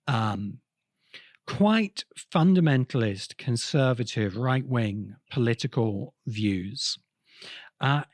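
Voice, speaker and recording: A man hosting a podcast, delivering an explanatory cultural history monologue with emphatic, halting phrasing. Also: medium pitch, no room sound, clean studio sound. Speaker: podcast host.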